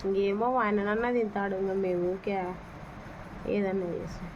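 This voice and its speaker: A woman's voice: mid-range voice, steady tone, normal speed. A woman explaining things in a casual phone conversation. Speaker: prank caller. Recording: slightly muffled phone call, faint noise.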